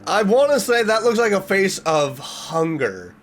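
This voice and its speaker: Animated man with lively, swooping pitch. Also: a little slow, faint music behind. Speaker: reaction video host. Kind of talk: casual reaction commentary, reading aloud and reacting.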